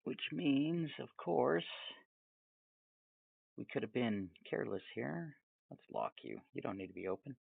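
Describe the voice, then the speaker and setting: Tinny-sounding man with wildly swinging pitch. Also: big pitch swings, measured pace, gravelly, slightly rough, no room sound. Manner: rambling, self-amused asides in a chatty, jokey way. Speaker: tutorial presenter. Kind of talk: casual, off-the-cuff software tutorial narration.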